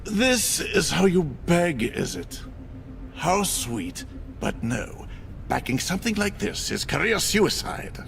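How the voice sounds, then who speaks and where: Pompous old ceo for a video game, super dramatic n scornful, lots of fry, music behind. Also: male voice, high voice, very animated, slow pace, a bit bright, heavily acted. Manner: pompous, contemptuous, theatrical. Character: haughty older male corporate executive, cold and dismissive.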